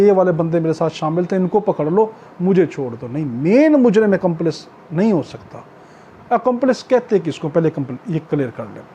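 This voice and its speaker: A man's voice: high voice, warm tone, slight background noise. A man with a Pakistani accent teaching an exam-prep class as a lecturer, explaining emphatically with repetition and rhetorical questions. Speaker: law teacher.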